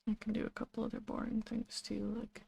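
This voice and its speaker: Low-key woman streamer with very creaky voice. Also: completely flat delivery, fairly quick, warm tone, no echo. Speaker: game streamer. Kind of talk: casual live gameplay commentary.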